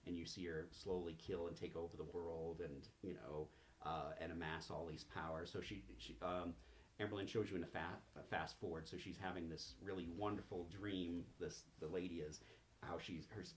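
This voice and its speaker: A man improvising narration as game master of a tabletop game, in a halting, drawn-out way with pauses. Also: low-pitched, steady tone. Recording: faint background noise.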